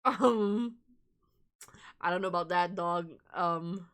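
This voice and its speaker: A woman's voice: on the low side, takes it slowly, a bit bright. A woman streaming a game, reading a visual novel aloud with casual, sweary, amused asides. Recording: music behind the voice.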